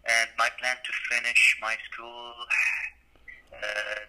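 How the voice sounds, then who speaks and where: Guy from syria, syrian accent, slow halting english, super bright voice on the phone. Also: medium pitch, level tone, low-quality recording. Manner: halting, searching for words. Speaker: student guest. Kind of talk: casual interview. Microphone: phone call.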